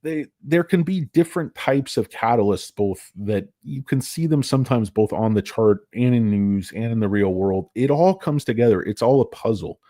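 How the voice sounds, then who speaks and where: A man's voice: medium pitch, lively intonation, moderate pace, hardly any pauses, mellow, no echo, studio quality. A man hosting a casual stock commentary, rambling, crude and sarcastic as he talks through charts and filings. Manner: rambling, crude and sarcastic, with mocking put-on voices. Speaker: stock market video host.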